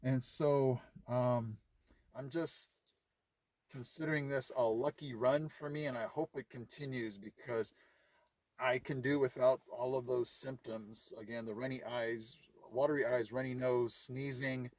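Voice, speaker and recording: A man talks straight to his viewers in an easy, rambling way, acting out bits of conversation and leaning on ums and you-knows. His voice sits at a middling pitch in a dry, close-sounding space. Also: steady tone, measured pace, no room sound. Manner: chatty, retells conversations, frequent fillers. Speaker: vlogger. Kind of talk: casual chat to camera.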